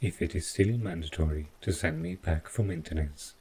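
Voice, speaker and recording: A man playing an overprotective, stiffly polite robot butler in an audio roleplay, speaking in formal, deliberate phrases. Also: sits low, dark tone, a little hiss or hum, natural voice. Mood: evasive, insistent. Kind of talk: scripted audio roleplay addressed to the listener.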